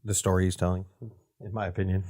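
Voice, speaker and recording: A man with a fairly low voice chats on a movie podcast. He cuts in with quips and questions. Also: level tone, fast, dark tone. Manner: jokey, interjecting with quips. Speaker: podcast co-host. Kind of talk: casual movie chat.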